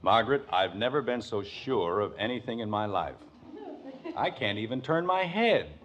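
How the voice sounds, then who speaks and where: A man's voice: on the low side, big pitch swings, normal speed. An American man acting a proud, exhausted middle-aged suburban father in a 1950s radio sitcom, complaining with dry exaggeration. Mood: groaning, grumbling. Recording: dull old radio recording, loud audience.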